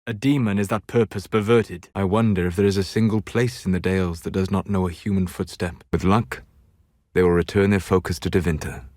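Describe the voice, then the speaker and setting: A male actor playing a learned, grave and dryly scornful elven mage in a video game, speaking in measured, formal, articulate lines. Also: low voice, fairly even intonation, a little mellow, no echo, clean studio sound, lightly acted. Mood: measured, solemn. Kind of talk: dramatic game dialogue lines.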